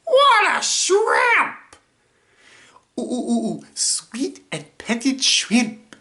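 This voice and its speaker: A woman voicing a skinny, wisecracking young male cartoon soldier in an excitable, theatrical, teasing manner. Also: high-pitched, drawn-out words, voice pushed up, exaggerated voice. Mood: excitable, romantic.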